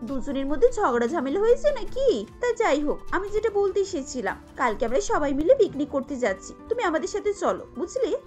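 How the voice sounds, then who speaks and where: A woman's voice: sits high, very animated, a little fast, hardly any pauses, a little mellow, soft background music. A woman voicing a pushy, nosy young neighbour in a cartoon story, with a needling manner. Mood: breezy, needling.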